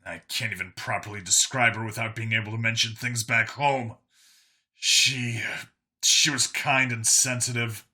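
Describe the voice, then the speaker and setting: A young, guarded man carrying heavy feeling as a male narrator acts him, halting and emotional. His mid-pitched voice has a very bright, tense edge, suited to a sci-fi audiobook hero. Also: fairly even intonation. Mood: frustrated longing. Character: young male abductee cop, guarded, anguished son.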